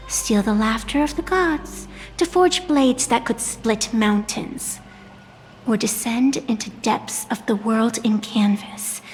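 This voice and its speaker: A high, feminine game voice for a mysterious supernatural being. She is playful yet aloof, speaking in formal, theatrical phrases with a teasing edge. Long reverb surrounds her, and music plays underneath. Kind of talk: video game character dialogue.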